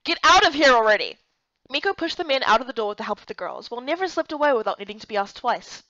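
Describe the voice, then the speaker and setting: A woman giving expressive first-person scripted narration of a fantasy story. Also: fairly high pitch, racing, no room sound, dull audio. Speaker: narrator and voice actor for a visual novel playthrough.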